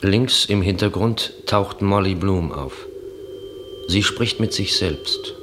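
A sober narrator in a literary radio play. His male voice is low, and he delivers stage directions at a very slow pace. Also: fairly bright tone, a little hiss or hum. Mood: measured. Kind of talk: scripted radio drama narration.

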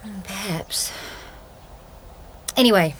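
A woman's voice: mid-range voice, slow pace, slight background noise, lightly acted. An actress playing an earnest, inquisitive young married woman in a radio drama, polite and questioning. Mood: uneasy, reflective. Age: young adult.

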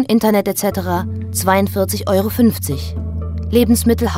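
An actress plays a matter-of-fact female announcer in a radio play. She reads out items drily in a middle-pitched voice, with faint music underneath. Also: natural voice. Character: dry, matter-of-fact female announcer reading out accounts and definitions. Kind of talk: scripted read-out in a radio play.

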